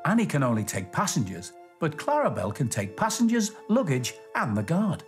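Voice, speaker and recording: A middle-aged man presenting and telling stories to children as a station master, expressive and clearly enunciated. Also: on the high side, normal speed, soft background music.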